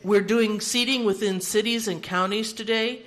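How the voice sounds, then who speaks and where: Bright-voiced older woman chairing a meeting. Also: mid-range voice, steady tone, moderate pace. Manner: orderly and practical, with light self-deprecating humor. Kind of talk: meeting announcements and opening prayer. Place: large meeting room. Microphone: handheld microphone.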